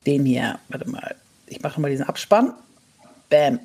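A man's voice: mid-range voice, lively intonation, measured pace, gravelly, very noisy. A man co-hosting a casual podcast chat, enthusiastic and hesitant, restarting his sentences. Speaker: podcast co-host.

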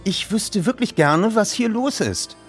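A man's voice: high-pitched, expressive, measured pace, soft background music, pitched above the performer's own voice. Man reading as a guarded mother, slightly raised voice. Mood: skeptical, stern. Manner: stern, hesitant, guarded. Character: guarded mother around forty, skeptical and protective.

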